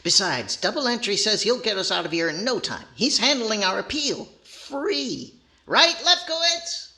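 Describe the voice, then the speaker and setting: A man's voice: sits high, sing-song, moderate pace, sharp tone, heavily acted. A man voicing a cheeky, wisecracking boy ventriloquist dummy in the sarcastic, needling style of 1940s radio comedy. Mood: brash. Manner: sardonic, needling.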